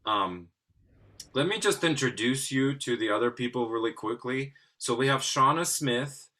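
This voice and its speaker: A man's voice: mid-range voice, normal speed, sharp tone. A man speaking enthusiastically and conversationally as he interviews guests on a video call. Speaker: interviewer, artistic director and clarinetist.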